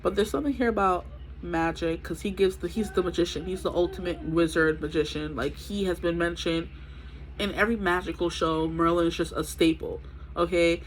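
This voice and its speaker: A woman's voice: fairly low pitch, steady tone, brisk, a little hiss or hum, low-quality recording. A woman in her twenties giving a chatty, rambling tarot reading with a stuffy nose from allergies. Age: 20s. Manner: chatty, rambling, many fillers. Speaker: tarot reader. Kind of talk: casual tarot reading to camera.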